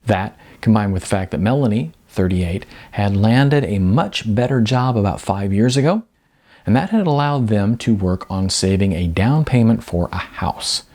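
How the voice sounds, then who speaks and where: A man's voice: fairly low pitch, animated, normal speed, a little mellow. A man, an author reading from his own novel in an audiobook narration style with casual asides.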